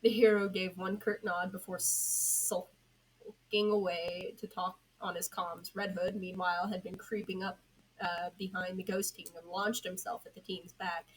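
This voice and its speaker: A woman's voice: fairly low pitch, slight background noise, poor audio. A woman reading scripted fan fiction narration as an amateur narrator, occasionally stumbling over words.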